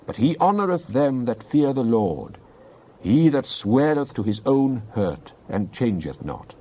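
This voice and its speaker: Man as devout male psalmist, earnest, deliberate, solemn declamation, dramatized Bible reading, slightly muffled lo-fi sound. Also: mid-range voice, sing-song, warm tone. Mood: solemn, declamatory. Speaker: scripture reader.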